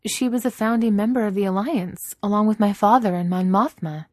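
A woman reader plays a guarded young princess leading rebels in a space-opera story. She is assertive and wry, pitched above the narration, with a dry close sound. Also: a little fast, darkish tone, dry recording, close to an everyday voice. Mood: surprised.

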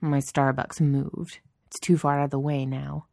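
A woman doing a low, grumpy deadpan office guy. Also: moderate pace, no room sound, studio quality. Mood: sullen. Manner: gruff, deadpan, sarcastic. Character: grumpy, dry-witted, caffeine-starved male office writer.

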